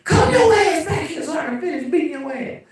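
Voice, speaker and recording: A man putting on a high falsetto to play his scolding old grandmother. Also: expressive, brisk. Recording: echoey room, far from mic.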